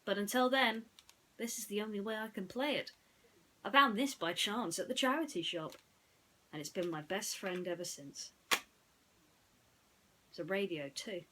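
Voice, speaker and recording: A woman narrating a bedtime story in an expressive, deliberate way, with chatty asides. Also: mid-range voice, a little hiss or hum, rough recording.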